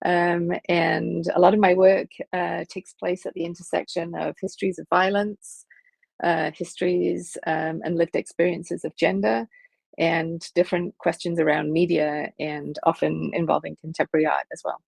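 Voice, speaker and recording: A woman in her fifties, from Liverpool, answering interview questions thoughtfully with frequent pauses and fillers over a video call. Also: fairly low pitch, little pitch movement, creaky, a little mellow, husky, dry recording. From England, Liverpool. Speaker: film studies professor, guest.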